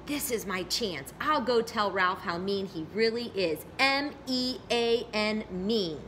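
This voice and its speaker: A woman voicing a gentle, easily hurt young schoolgirl in an earnest, pleading storybook manner. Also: medium pitch, lively intonation, slow pace, slight background noise, pitched above the performer's own voice. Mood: indignant.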